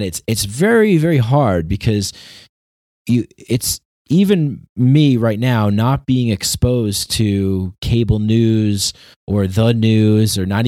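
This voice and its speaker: A man with a fairly low voice speaks his opinions off the cuff in a dry, echo-free recording. He is earnest and emphatic, stopping and restarting as he hunts for the right word, often repeating a phrase before moving on. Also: a little mellow. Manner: halting, repetitive, searching for words. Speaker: commentator. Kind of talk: unscripted opinion monologue.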